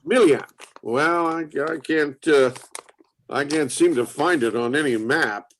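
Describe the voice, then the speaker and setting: A man performs a gruff inventor in a stage drama, speaking slowly in a fairly high male voice. He is folksy and blustering, halting and rambling as he goes, cagey and hard of hearing. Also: fairly bright tone, slight background noise. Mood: probing. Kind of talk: dramatic play reading. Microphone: video call.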